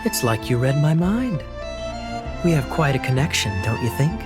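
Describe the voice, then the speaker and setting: A man voicing a suave, teasing knight officer in a video game, smooth and courteous. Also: mid-range voice, big pitch swings, normal speed, lightly acted. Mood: sly. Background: game soundtrack music.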